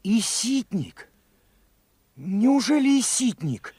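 A young soldier son in a Soviet radio play. His voice is very high for a man and closely recorded, without room sound. He comes across as eager, naive and full of wonder, with plain folksy speech. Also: sing-song, takes it slowly, darkish tone, slightly rough, no room sound, full character voice.

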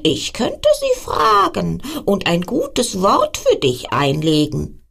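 A woman voicing a friendly young frog in a bedtime story, coaxing and deliberate. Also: medium pitch, wildly swooping pitch, creaky, fairly bright tone, heavily acted.

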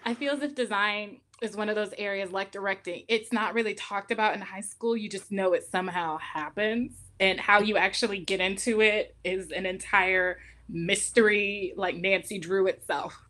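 A woman's voice: mid-range voice, normal speed, fairly bright tone. A woman moderating a video call panel, asking questions in a friendly, playful way. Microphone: webcam on video call.

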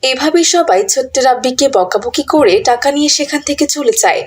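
Female story narrator, fairly high voice, scripted delivery, children's moral cartoon. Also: expressive, a little fast, husky.

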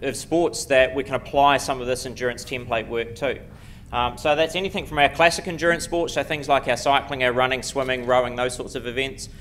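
Quick New Zealand man giving a talk. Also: mid-range voice, a bit bright, a little hiss or hum. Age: middle-aged. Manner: quick, self-deprecating, many ums and restarts. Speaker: conference speaker. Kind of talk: conference lecture. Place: lecture room before a seated audience. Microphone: podium mic at a lectern.

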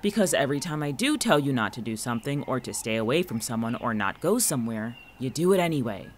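A man's voice: medium pitch, sing-song, fast talker, a little hiss or hum, lightly acted. A man playing a guarded, watchful friend in an audio drama, speaking conversationally with dry, exasperated sarcasm. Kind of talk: naturalistic audio drama dialogue.

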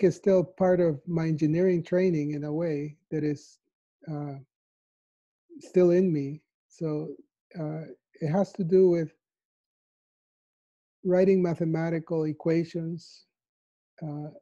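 A man in his fifties from El Salvador, answering interview questions thoughtfully from his living room on a video call, with a lapel mic. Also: fairly high pitch. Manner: soft-spoken, thoughtful, with frequent fillers. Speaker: mathematician interviewee. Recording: dull lo-fi video call audio.

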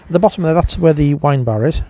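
A man's voice: a little high, fast, warm tone. A man giving a casual voice-over review as a vlogger.

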